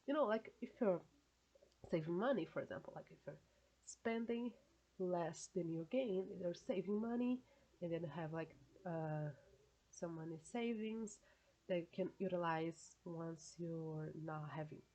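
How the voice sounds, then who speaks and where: A woman's voice: on the low side, measured pace, dark tone, rough recording. A woman from Brazil with a Brazilian Portuguese accent, chatting casually to her viewers with lots of fillers, and a stuffed nose from a cold. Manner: chatty, many fillers, self-correcting phrasing. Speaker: reaction video creator. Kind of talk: casual solo commentary.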